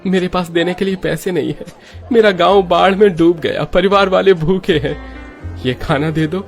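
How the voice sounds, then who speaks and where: Man acting a pleading poor village father, raised pitch. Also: moderate pace, soft background music. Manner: pleading, emotional. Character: poor young father Manohar, gentle, desperate, pleading. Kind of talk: melodramatic Hindi moral-story voice acting.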